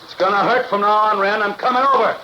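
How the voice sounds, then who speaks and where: Fast, high-pitched tough police detective. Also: male voice, fairly even intonation, pushed and bright. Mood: tense, commanding. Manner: barking short orders. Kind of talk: 1940s radio drama acting. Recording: muffled, noisy vintage radio recording.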